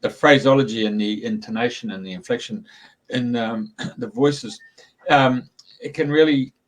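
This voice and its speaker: A man's voice: mid-range voice, moderate pace, low-quality recording. A man hosting a podcast, speaking hesitantly with many fillers as he searches for words. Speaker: podcast host. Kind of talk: casual podcast conversation.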